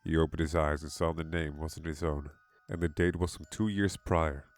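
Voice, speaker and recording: A man's voice: rumbling low, level tone, normal speed, faint music behind. A man reading a scripted horror story as its narrator.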